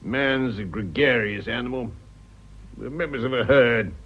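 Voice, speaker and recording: An actor playing a gruff, emphatic, blustering old English aristocrat in a radio drama, with a British accent. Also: male voice, mid-range voice, a little slow. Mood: grumbling, grave. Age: older. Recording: dull, muffled radio drama audio.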